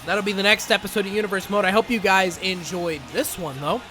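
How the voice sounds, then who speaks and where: A young man doing excitable play-by-play commentary over a wrestling video game, coughing and saying he is losing his voice. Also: sits high, a little fast, over music. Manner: excitable, rising to shouts at big moments. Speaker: gaming channel host and commentator.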